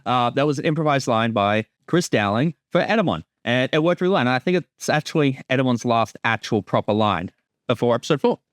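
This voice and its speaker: A man's voice: mid-range voice, animated, a little fast, no echo, clean studio sound. A man chatting casually as a creator commenting on his show, often doubling back on his opening words. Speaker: creator giving episode commentary.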